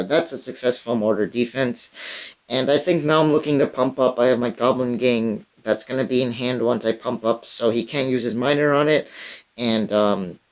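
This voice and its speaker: A young man with a raspy, strained voice, giving casual gameplay commentary full of fillers and restarts. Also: medium pitch. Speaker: gaming YouTuber.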